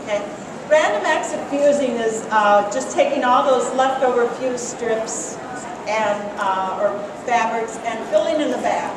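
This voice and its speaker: A woman's voice: a little high, animated, unhurried. Chatty woman quilter in an echoey hall. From Colorado. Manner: chatty, self-deprecating, with many fillers. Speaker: quilt artist and teacher. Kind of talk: casual demonstration and interview. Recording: echoey hall from far off, loud ambient noise.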